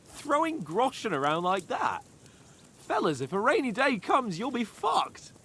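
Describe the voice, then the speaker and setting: A man's voice: extremely high pitch, huge pitch swings, unhurried, fairly bright tone, a little hiss or hum, full character voice. An actor playing a young medieval nobleman in a game, commanding and exasperated, chiding his companions in a teasing way. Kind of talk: video game cutscene acting.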